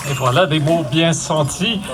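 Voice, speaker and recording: A man hosting an outdoor event, improvising in a hesitant, halting way. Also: fairly high pitch. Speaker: event host. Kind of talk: improvised introduction of speakers. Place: outdoor event at an old port. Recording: outdoor event with music behind.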